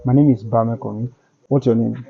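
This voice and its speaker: A man's voice: mid-range voice, steady tone, a little fast, creaky, noisy background. A man hosting a casual street interview, asking questions plainly and prompting his guest. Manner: asks questions plainly, prompts and finishes the other's sentences. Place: outdoors on a street. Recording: dull lo-fi audio.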